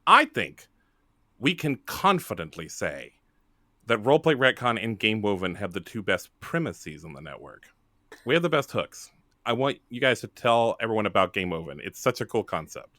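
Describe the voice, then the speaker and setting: A man's voice: on the low side, big pitch swings, normal speed, bright, forceful tone, dry recording, studio quality. A man hosting a podcast in a casual, chatty style. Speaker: podcast host.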